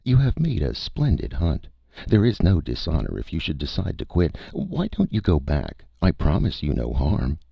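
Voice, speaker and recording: Man voicing a courteous alien creature, gravelly and raised. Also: rumbling low, huge pitch swings, no room sound, lo-fi sound, voice pushed up, exaggerated voice. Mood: calm, courteous. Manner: polite, measured, formal. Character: Cytha, eerie alien creature, calm, courteous, pleading. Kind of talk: audiobook character voice.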